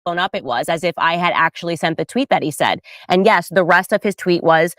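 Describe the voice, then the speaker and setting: A woman's voice: lowish voice, brisk, no room sound, clean studio sound. A woman in a played clip, indignantly reading out a post.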